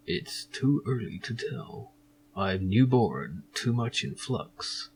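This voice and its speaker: A man voicing a cryptic, serene stranger in an adventure game, speaking in a slow, hushed, enigmatic way. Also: mid-range voice, very animated, slight background noise.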